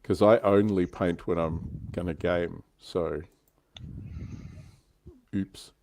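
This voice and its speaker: A man's voice: deep voice, fairly even intonation, takes it slowly, vocal fry, darkish tone, slight background noise. A man hosting a livestream in casual, wry, self-deprecating chat. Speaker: livestream host. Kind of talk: casual chat about tabletop wargaming.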